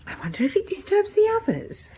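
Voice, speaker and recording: A woman's voice: very high voice, huge pitch swings, a little fast, warm tone, exaggerated voice. An actress playing a shy, devoted classical music fan in a radio horror drama, a genteel, anxious British woman who speaks in a hesitant, polite, confiding way. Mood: flustered. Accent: British English. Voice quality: breathy.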